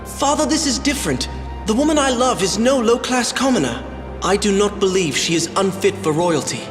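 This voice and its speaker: An actor playing an earnest, noble young prince in a fantasy game, with dramatic, formal, old-fashioned diction. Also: male voice, sits high, lively intonation, a little fast. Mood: defiant. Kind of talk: theatrical fantasy game acting. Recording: roomy reverberant voice over music.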